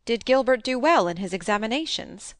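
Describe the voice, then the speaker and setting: A woman voicing a stern, reserved elderly farm woman in an audiobook, low, quiet and clipped. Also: expressive, a little fast, no room sound, clean studio sound, lightly acted. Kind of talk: audiobook character voice.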